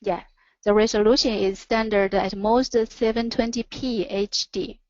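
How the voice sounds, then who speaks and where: A woman who speaks English as a second language presents a product in a webinar, haltingly, pausing between short phrases. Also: medium pitch, fairly even intonation, no echo. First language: not English. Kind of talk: product presentation over slides. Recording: dull, lo-fi online audio.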